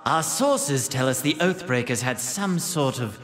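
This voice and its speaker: An actor playing a mocking, villainous spymaster in a fantasy game, with a theatrical, sardonic delivery that draws words out. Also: male voice, mid-range voice, animated, fairly bright tone, a little hiss or hum. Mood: sly, ominous. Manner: theatrical, drawn-out, sardonic, swooping. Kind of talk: dramatic fantasy game voice acting. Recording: long hall-like reverb.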